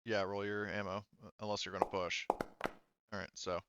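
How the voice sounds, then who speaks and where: A man's voice: lowish voice, little pitch movement, moderate pace, heavy background noise. A man running a tabletop roleplaying game, casually narrating and making rulings as he thinks aloud, on a slightly dull microphone. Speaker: game master.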